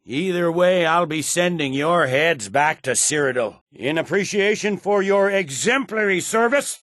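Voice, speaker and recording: An actor voicing a stern, battle-hardened Imperial general in a fantasy video game, with a curt and commanding manner. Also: male voice, a little high, lively intonation, measured pace, a bit bright, no room sound, studio quality. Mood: stern, proclaiming. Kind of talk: video game character dialogue.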